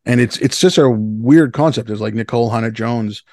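A man in his fifties from Canada telling his story casually as an interview guest, often restarting his sentences in a halting, conversational way. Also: mid-range voice, a little mellow, no room sound, studio quality. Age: 50s.

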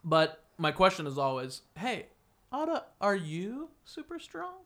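A young man hosting a casual interview podcast, talking loudly and laughing often. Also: sits high, measured pace. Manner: loud, laughing, animated. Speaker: podcast host.

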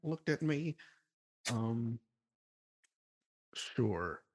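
A man hosting a podcast in casual chat, laid-back and quick to laugh, running through the show's plugs. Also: medium pitch, very animated, darkish tone, husky. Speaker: podcast host.

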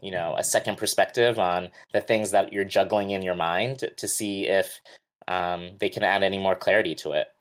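A man co-hosting a casual podcast, speaking in a measured, thoughtful way. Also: low-pitched, fairly even intonation. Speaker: podcast co-host.

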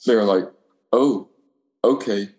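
A man's voice: lowish voice, unhurried, darkish tone. A man chatting casually in profane, slangy anecdotes, acting out the dialogue. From Alabama, United States. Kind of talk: casual chat, telling rambling anecdotes. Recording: echoey room.

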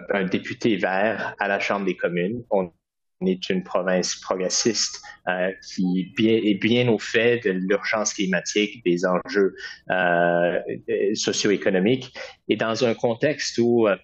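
Deep husky Québécois man, muffled line. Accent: Québécois French. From Quebec. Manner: earnest, many hesitations and restarts. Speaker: political candidate. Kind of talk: interview answers, political talking points.

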